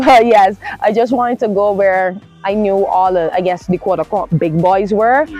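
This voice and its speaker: A woman's voice: mid-range voice, poor audio. A woman from Barbados with a Caribbean accent, telling her story casually as an interview guest and often correcting herself as she goes.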